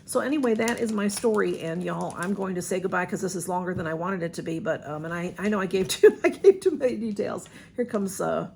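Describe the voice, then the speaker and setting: A woman with a Southern US accent speaks casually to her camera at home, her voice a little nasal and stuffy. There's a gravelly, fry-touched edge as she rambles along in a soft, heartfelt way, and faint noise sits under the recording. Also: on the low side, fairly quick, few pauses. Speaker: vlogger.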